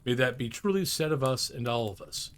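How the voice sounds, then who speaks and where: A man reading a classic story aloud as narrator, in an expressive storybook style. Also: mid-range voice, brisk, slight background noise.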